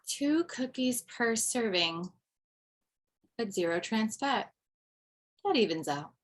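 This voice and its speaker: A woman's voice: on the high side, lively intonation, slow pace. A woman reading the part of a wistful widowed mother and former comedian at an online table read, hesitant and wry.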